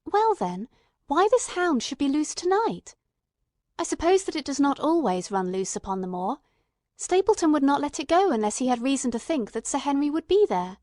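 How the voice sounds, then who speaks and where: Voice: high voice, very animated, moderate pace, darkish tone, no echo, studio quality. A performer playing an earnest, emotional male companion who narrates a radio mystery in a literary, fervent manner. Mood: puzzled. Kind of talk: dramatic scripted narration, old-time radio mystery.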